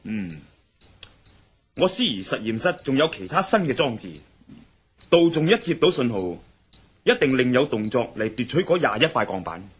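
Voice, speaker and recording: An actor with a Hong Kong Cantonese accent playing a calm, clever adventurer in a radio drama, speaking in a measured, reasoning way. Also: male voice, mid-range voice, a little hiss or hum, close to an everyday voice.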